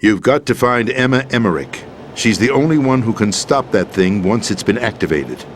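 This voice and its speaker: An actor playing an older male president in a video game cutscene, measured and conspiratorial as he explains, urgent near the end. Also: lowish voice, lively intonation, soft background music, lightly acted. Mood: grave, explaining. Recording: loud game score behind.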